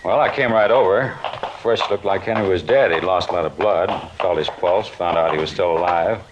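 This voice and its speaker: Casting: male actor as harried American movie-studio manager, talkative, low voice, quick delivery, old radio drama with muffled, noisy sound. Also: close to an everyday voice. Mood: harried, explaining. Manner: talkative, offhand. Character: harried, talkative male film production supervisor. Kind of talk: 1950s radio acting, conversational. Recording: dull, noisy vintage radio recording.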